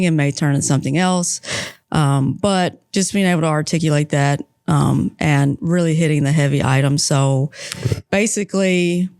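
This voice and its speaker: A woman's voice: deep voice, a little mellow, clear, resonant tone, dry recording, clean studio sound. A woman from Texas with a Texan accent, a guest on a podcast interview who chats and banters playfully about home life and her work. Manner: chatty, teasing, quick to banter. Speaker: guest, aggregate plant leader.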